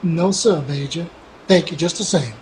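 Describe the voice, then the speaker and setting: A man acting a devout, sorrowful cowhand in a radio western. The voice is high for a man, humble and folksy, with faint background noise. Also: moderate pace, lightly acted, rough recording. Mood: solemn, sorrowful. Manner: deferential, folksy.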